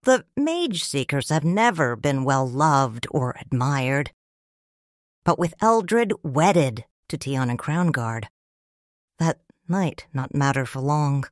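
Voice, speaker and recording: A woman narrating in first person as a grieving widowed noble mother in a fan audio drama, quiet and restrained. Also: extremely low pitch, big pitch swings, dry recording, clean studio sound, heavily acted. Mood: sorrowful. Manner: slow, hushed storytelling.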